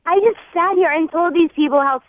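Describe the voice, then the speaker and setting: A woman's voice: very high-pitched, fairly quick, dry recording. A woman phone-in guest arguing in an indignant, accusing way over a tinny phone line. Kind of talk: heated conversational arguing.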